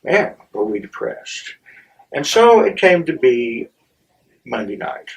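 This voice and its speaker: A middle-aged or older man telling a personal story in a theatrical, wry way, with dramatic pauses and halting asides. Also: medium pitch, sing-song, creaky. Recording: distant microphone.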